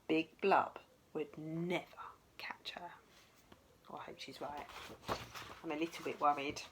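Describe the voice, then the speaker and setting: A woman teacher reading a storybook aloud to young children in an expressive, dramatic way, with asides to the listeners. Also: sits low, slow, background noise.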